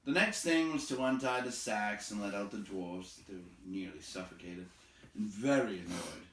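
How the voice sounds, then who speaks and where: A man reading a fantasy story aloud as an expressive storyteller. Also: on the low side, normal speed, roomy sound, slight background noise.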